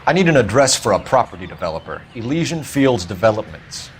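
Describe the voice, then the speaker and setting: Earnest low-voiced detective, quick delivery. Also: male voice, big pitch swings, a little hiss or hum. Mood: businesslike. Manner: clipped, formal questioning. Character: earnest, probing 1940s police detective. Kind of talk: video game dialogue, police interrogation.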